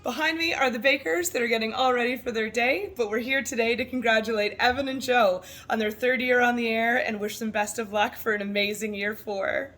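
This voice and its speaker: A woman's voice: fairly high pitch, fairly even intonation, fairly quick, hardly any pauses, fairly bright tone, soft background music. A woman, a bakery owner, delivering a congratulatory video message.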